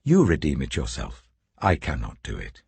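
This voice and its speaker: A man's voice: extremely low pitch, wildly swooping pitch, moderate pace, darkish tone, slightly rough, no room sound, pitched below the performer's own voice, heavily acted. A British man voicing a reluctant, cautious kinsman in a scripture reading, firm and plain-spoken.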